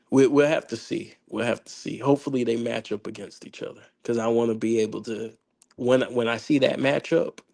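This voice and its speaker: A man with a gravelly, hoarse voice chats about sports in a loose, slangy way. He rambles and restarts often, pitched in the middle range for a man. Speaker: podcast host. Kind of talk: casual sports chat.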